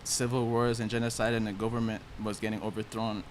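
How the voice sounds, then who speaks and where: A young man from Haiti tells his story in a low, unhurried voice, full of casual slang. He sounds like a relaxed podcast guest. A faint hiss sits under the recording. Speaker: guest musician. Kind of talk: casual interview chat.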